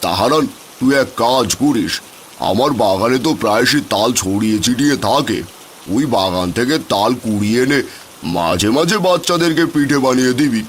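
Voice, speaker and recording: A man's voice: medium pitch, lightly acted. An actor playing a kindly, generous old village headman in a cartoon fairy tale. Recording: rain noise behind.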